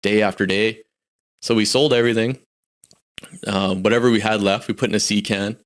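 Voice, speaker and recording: A man's voice: on the low side, steady tone, a little fast. A Canadian man in his forties, a podcast guest, talking candidly and reflectively about his life, often restarting his sentences. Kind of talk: casual personal storytelling.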